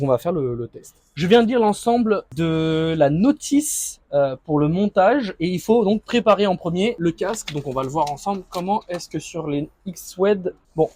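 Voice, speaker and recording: Higher-pitched man, casual vlogger, close dry sound. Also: warm tone, no echo. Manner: informal, improvised, thinking aloud. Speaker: motorcycle vlogger. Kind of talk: casual unboxing and installation commentary.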